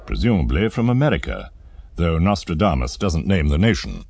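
A man narrating a scripted dramatised documentary in a measured, theatrical manner, posing rhetorical questions. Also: low-pitched, very animated, creaky, a little mellow, no echo.

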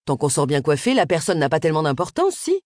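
A woman's voice: deep voice, wildly swooping pitch, quick pace, darkish tone, no echo. A woman voicing a blunt, gloomy middle-aged woman who answers curtly and dryly. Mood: curious. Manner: blunt, dry.

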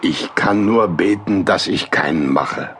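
A male actor playing an older church elder in a radio play, speaking gravely and deliberately. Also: sits low, fairly bright tone, a little hiss or hum. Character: aging church elder, solemn and burdened.

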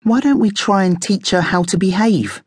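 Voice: mid-range voice, normal speed, breathy edge, no echo, clean studio sound, pitched above the performer's own voice, close to an everyday voice. A narrator voicing an earnest, eager male guinea pig who reasons hopefully in a children's story.

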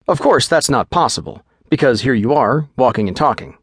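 A man's voice: medium pitch, lively intonation, a little fast, slightly rough, dry recording, studio quality. A man playing a rattled middle-aged doctor, speaking in a fussy, clinical and nervously reassuring way.

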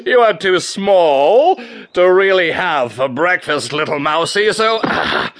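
A man's voice: high-pitched, expressive, slow, a bit bright, exaggerated voice. A man voicing a great lion, the boastful king of beasts, chuckling and condescending in a storybook fable. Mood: amused, haughty.

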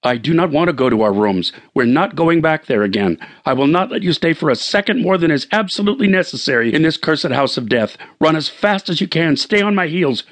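A man reads this as a desperate husband on the run, his voice pushed high and swinging wildly as he snaps out orders. The commands come hurried and breathless, and he sounds frantic and commanding. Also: lively intonation, fairly bright tone. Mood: urgent. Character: frantic middle-aged man fleeing with his wife.

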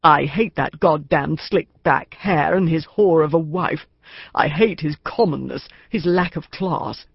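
A man's voice: mid-range voice, sing-song, gravelly, slightly rough, dry recording, muffled recording, heavily acted. A woman voicing an anguished older chairman. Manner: wailing, bitter, slow. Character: anguished older male company chairman, despairing.

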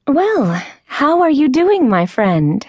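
Woman playing an excited tall glamorous woman. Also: a little high, a little slow, no room sound, voice pushed up. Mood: enthusiastic. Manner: exclamatory, enthusiastic. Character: enthusiastic tall glamorous woman greeting friend.

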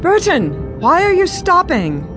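An actress playing a vain, hard-of-hearing company boss, haughty and impatient, in an audio drama. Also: female voice, very high-pitched, wildly swooping pitch, a little slow, music in the background, full character voice. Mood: impatient, bossy. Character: imperious, vain, hard-of-hearing female cosmetics executive. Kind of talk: radio drama acting.

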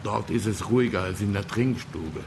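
An actor playing a bluff, well-to-do middle-aged uncle in a German radio drama. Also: male voice, lowish voice, moderate pace, background noise, lightly acted. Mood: matter-of-fact, condoling.

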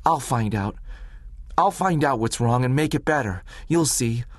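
A man reading aloud and voicing a determined, stubborn ten-year-old farm boy who pleads and shouts. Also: mid-range voice, animated, measured pace, no room sound, studio quality, voice pushed up, lightly acted.

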